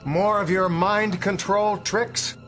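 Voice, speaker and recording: An actor playing a middle-aged American president in a game cutscene, with an American accent and emphatic, melodramatic delivery. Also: male voice, high voice, steady tone, slow, fairly bright tone, faint music behind. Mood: suspicious. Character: middle-aged male American president, pleading yet stern.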